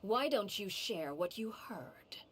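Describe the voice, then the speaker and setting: A woman's voice: mid-range voice, lively intonation, takes it slowly, slight background noise. A woman voicing a cold, authoritative matriarch who passes judgment in game dialogue. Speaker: character in a game cutscene.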